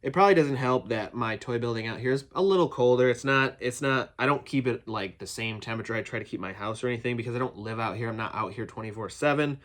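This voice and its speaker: A man's voice: medium pitch, fast talker, hardly any pauses, fairly bright tone. A man with a cold, sounding nasal and a bit phlegmy, giving a rambling, eager unboxing review of action figures from his toy building. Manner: rambling and chatty, many restarts, eager.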